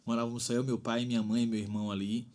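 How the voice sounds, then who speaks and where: A man's voice: mid-range voice, level tone, quick pace, a little mellow. A man in his thirties from Bahia, Brazil, a singer-songwriter guest talking reflectively and at length, tagging phrases with "né?". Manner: thoughtful, rambling with frequent "né?". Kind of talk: reflective interview talk.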